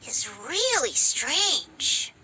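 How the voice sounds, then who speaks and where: A woman's voice: high voice, wildly swooping pitch, very slow, noisy background, full character voice. An actress voicing a small, earnest little girl in a video game, childlike, blunt and a bit hesitant. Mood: blunt, shy.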